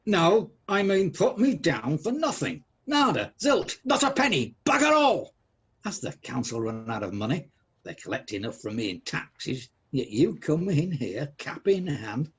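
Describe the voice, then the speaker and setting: An actor playing a miserly, bad-tempered old businessman in a comic radio drama, husky, sarcastic and blustering. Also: mid-range voice, expressive, normal speed, darkish tone. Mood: outraged scorn.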